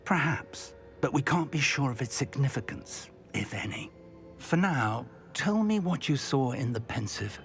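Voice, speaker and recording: An actor playing a kindly older wizard professor and mentor in a game cutscene, speaking thoughtfully. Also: male voice, mid-range voice, animated, measured pace, natural voice. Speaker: game character. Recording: faint music behind.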